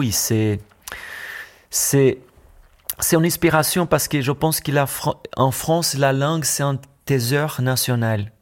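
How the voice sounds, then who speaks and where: Man, Brazilian accent, French as a second language, unhurried, hesitant, radio studio guest. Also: medium pitch. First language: Brazilian Portuguese. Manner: hesitant, searching for French words. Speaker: musician guest. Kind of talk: radio interview.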